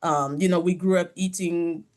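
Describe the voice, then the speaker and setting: A woman from France whose first language is French, speaking as an interview guest over a video call from her office, telling her story in a measured, reflective way with soft laughs. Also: lowish voice, steady tone. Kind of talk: conversational interview.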